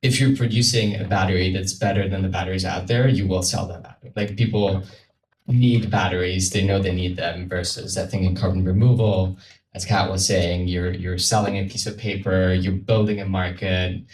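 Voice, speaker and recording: A man's voice: deep voice, fairly even intonation, normal speed, a little mellow. A man from Switzerland speaking informally and somewhat hesitantly as a guest on a panel. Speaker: panel guest from a carbon removal company. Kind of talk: casual spoken panel talk. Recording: roomy, distant mic, noisy event space.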